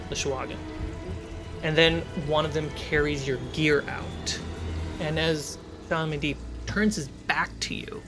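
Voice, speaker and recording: A man narrating a tabletop fantasy game as game master, in a measured, suspenseful way with dramatic pauses. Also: fairly high pitch. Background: cinematic music score.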